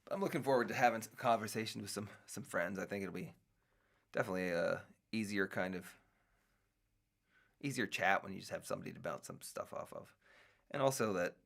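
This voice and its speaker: A man's voice: lowish voice, fairly quick, vocal fry, fairly bright tone. A middle-aged man hosting his own show, rambling casually with many restarts and fillers. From United States. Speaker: podcast host. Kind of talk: casual solo talk.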